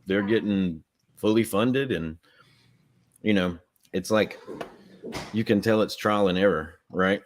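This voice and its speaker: A man's voice: fairly low pitch, takes it slowly, pauses often, slight background noise. A man, chatting casually and rambling on a livestream about a comic he co-created. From Southern US. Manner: rambling, heavy use of "like".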